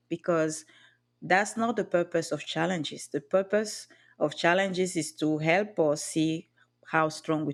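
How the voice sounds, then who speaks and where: A woman from Cameroon with a Cameroonian accent, speaking earnestly as a podcast guest and often restarting her sentences. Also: low voice. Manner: earnest, with frequent restarts and light laughs. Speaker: podcast guest, booking agent. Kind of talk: reflective interview talk.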